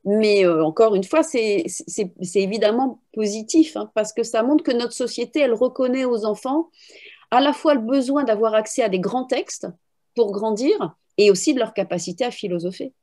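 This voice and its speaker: A middle-aged woman with a fairly high, soft-edged, mellow voice. She explains like a teacher in an interview, thinking aloud and restarting as she goes. She speaks over a video call from a room at home. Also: normal speed. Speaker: philosophy teacher and trainer, interviewee. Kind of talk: spontaneous explanatory interview talk. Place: room at home with bookshelves, indoors. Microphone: video call, computer microphone.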